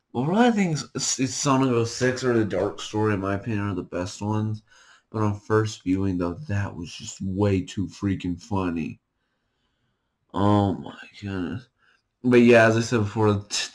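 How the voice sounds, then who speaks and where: A young man giving casual reaction commentary in an amused, halting way, often laughing through his words. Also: fairly low pitch. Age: young adult. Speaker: reaction streamer. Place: small bedroom, indoors.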